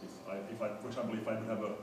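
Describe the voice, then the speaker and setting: A man giving an academic lecture in a halting, hesitant way, often repeating the starts of his words. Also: level tone. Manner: hesitant, halting delivery with stammered word repetitions. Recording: very echoey room, distant mic, noisy rumble.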